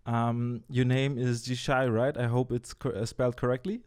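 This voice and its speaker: Mellow-voiced male interview host. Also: mid-range voice, little pitch movement, moderate pace. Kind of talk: casual interview chat.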